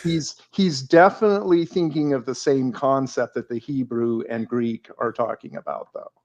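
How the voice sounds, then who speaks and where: A man's mid-pitched voice comes through slightly dull and muffled. He co-hosts a relaxed theology talk, explaining at length and circling back with fillers and repeated starts. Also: moderate pace. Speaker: podcast co-host. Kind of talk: casual theological discussion.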